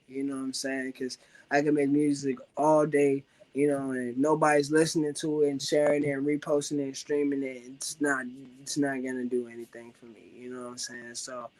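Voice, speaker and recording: A man from Washington, D.C. with a raspy voice, talking about his music as a guest in a casual, laid-back interview full of filler phrases. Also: medium pitch, darkish tone, low-quality recording. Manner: laid-back, loose, full of "you know what I'm saying". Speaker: rapper guest.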